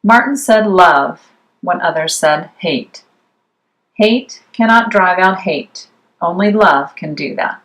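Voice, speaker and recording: Low-pitched woman reading a picture book. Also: expressive, takes it slowly, a bit bright, low-quality recording. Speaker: read-aloud storyteller. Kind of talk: scripted children's picture book narration. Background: music behind the voice.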